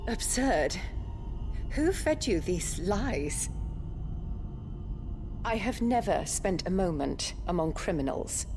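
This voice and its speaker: An actress playing a proud, cold empress in a video game, imperious and contemptuous, with clipped speech. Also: female voice, on the low side, sing-song, slow, pauses often, heavily acted. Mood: cold indignation. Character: proud, cold, disdainful noblewoman, an empress and mother. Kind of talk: dramatic video game voice acting. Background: orchestral game music.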